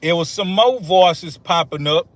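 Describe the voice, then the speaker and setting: A man telling a story informally on a livestream, deliberate and slangy, with pauses. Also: sits high, no room sound.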